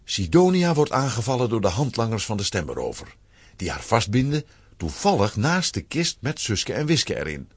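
A male narrator telling a children's adventure tale with a Flemish accent. His lowish, husky voice moves with a wide, expressive range. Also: normal speed, no echo. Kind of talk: scripted storytelling narration for a children's audio drama.

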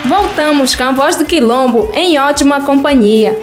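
High-pitched woman hosting a radio show. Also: normal speed. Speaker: radio programme host. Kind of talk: scripted, upbeat radio presenting. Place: radio recording studio. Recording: studio radio, faint music behind.

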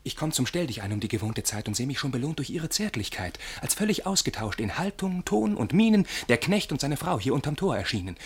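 A man's voice: medium pitch, sing-song, fairly quick, hardly any pauses, breathy edge. An actor playing a naive, boastful young male lover, effusively declaiming rhymed verse in a theatrical radio play style. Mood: indignant, animated.

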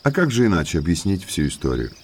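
Audio drama role: inquisitive gentleman in his thirties, deep register, courteous but slyly probing, slight background noise. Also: sing-song, fast talker. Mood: confiding. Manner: courteous, talkative, insinuatingly polite.

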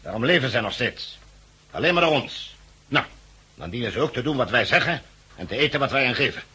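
A man's voice: mid-range voice, expressive, brisk, sharp tone, breathy edge, heavily acted. A man acting in a 1960s Dutch radio drama as a cold, officious committee envoy, formal and pompous, with a Netherlands accent. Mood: smugly authoritarian. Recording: muffled-edged vintage 1969 radio recording.

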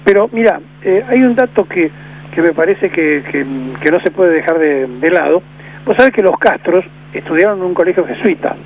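A man's voice: sits high, animated, normal speed. A man with an Argentine accent hosting a radio interview, rambling and restarting his sentences. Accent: Argentine Spanish. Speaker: radio host. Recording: dull lo-fi AM radio broadcast with faint noise.

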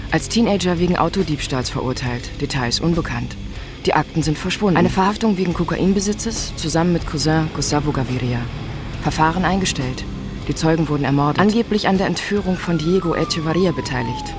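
A woman's voice: sits low, wildly swooping pitch, a little fast, over music. A woman narrating a documentary drama in a clipped, matter-of-fact, dossier-like style. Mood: factual. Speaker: narrator reading biographical records.

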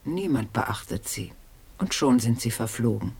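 An actress playing a dead wife's ghost in a radio play, dry, sardonic and reproachful, with a husky voice. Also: female voice, very deep voice, expressive, a little slow, darkish tone, exaggerated voice. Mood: quietly accusing.

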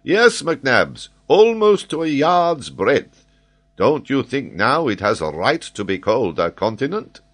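A man voicing an excitable, learned French geographer, speaking eagerly and emphatically as he explains. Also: mid-range voice, measured pace, voice pushed up. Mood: lecturing. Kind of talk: audiobook character voice.

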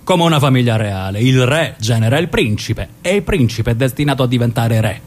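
A man's voice: mid-range voice, lively intonation, brisk, natural voice. A man playing a protective, resigned brother born into the mafia in a radio drama. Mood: wistful, resigned. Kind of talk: stage-style radio drama.

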